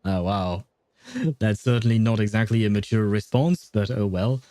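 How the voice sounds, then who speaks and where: Young male streamer, warm low-key tone, dry close mic, rambling and good-humored. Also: fairly even intonation, moderate pace, dry recording. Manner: chatty, laughs often, thinks aloud while reading. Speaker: gaming livestreamer. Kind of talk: casual livestream chat.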